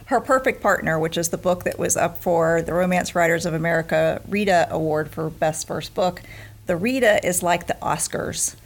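A woman with a lowish, gravelly voice speaks calmly and thoughtfully. She backs up her fellow author in a relaxed conversation. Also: normal speed. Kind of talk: casual interview chat.

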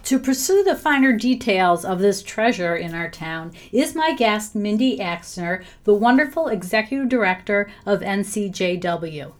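A slightly hoarse woman hosts a podcast with upbeat enthusiasm. She moves from a scripted introduction to friendly interview questions, speaking at a mid pitch. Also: animated, moderate pace, few pauses. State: hoarse from laryngitis. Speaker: podcast host.

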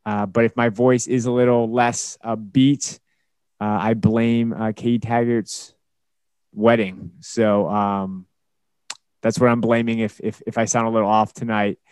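A young man hosting his own podcast, talking casually alone, a little hoarse and tired, rambling with fillers and restarts. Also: lowish voice, flat delivery, a little slow, darkish tone, no echo. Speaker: podcast host. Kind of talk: casual solo podcast talk.

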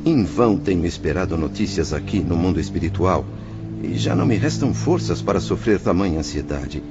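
An actor playing an anxious, ill-tempered former merchant in a radio drama, speaking in pompous, ornate formal phrases. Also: male voice, low-pitched, sing-song, a little fast. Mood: anxious, pleading. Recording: muffled band-limited audio with music behind.

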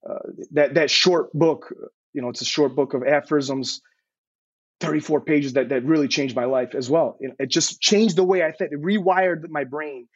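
A man's voice: fairly high pitch, steady tone, moderate pace, slightly rough, no echo, highs cut off. A man in his thirties, born in Serbia, telling his story as an interview guest in an earnest way, stumbling and repeating words. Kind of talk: casual interview.

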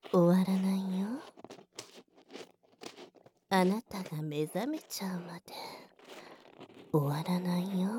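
A woman's voice: mid-range voice, dark tone, a little hiss or hum. A woman voicing a bossy, teasing tsundere childhood friend working as a cafe waitress in an intimate ASMR voice drama, often slipping into whispers. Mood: sadistic, whispery teasing. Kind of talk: Japanese ASMR situation voice drama.

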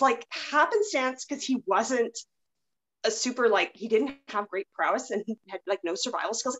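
A woman's voice: medium pitch, a bit bright, low-quality recording. A woman hosting a podcast, chatting casually and telling anecdotes in a rambling way. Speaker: podcast host. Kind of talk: casual chat.

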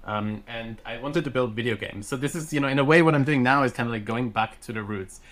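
Excited Austrian man speaking English. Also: medium pitch, fast. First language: German. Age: 30s. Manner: excited, many fillers and restarts. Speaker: guest. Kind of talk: casual podcast interview.

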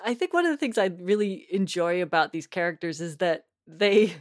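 A woman's voice: lowish voice, animated, normal speed. A woman chatting as a podcast guest and creator, in a reflective, amused way. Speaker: guest, audio fiction creator. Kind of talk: casual interview chat.

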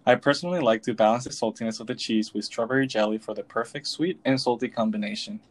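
A young man from Venezuela co-hosting a podcast, reading a prepared script in a steady way. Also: mid-range voice, fast. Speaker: podcast co-host.

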